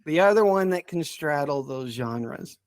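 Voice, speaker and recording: A man's voice: a little high, lively intonation, unhurried, no echo. A man chatting casually and enthusiastically as he presents picks on a podcast, often chuckling as he talks. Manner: enthusiastic, chuckles while talking. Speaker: podcast guest presenting a ranked list.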